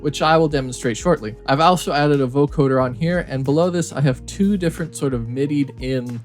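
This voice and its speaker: A man presenting a software tutorial, explaining things step by step in a casual way. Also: on the high side, a little fast, faint music behind.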